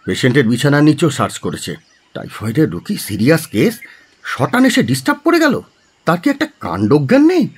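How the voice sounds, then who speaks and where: A man playing a fussy, blunt town doctor in a Bengali audio drama, speaking in an excitable, scolding manner. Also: fairly high pitch, fairly quick, breathy edge, full character voice. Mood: agitated. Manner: excitable, scolding, peppers talk with English words.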